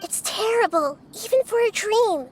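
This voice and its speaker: A woman voicing a small, childlike, wise and gentle goddess in a video game, speaking in a measured, thoughtful way. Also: very high-pitched, lively intonation, heavy background noise. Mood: explaining, concerned.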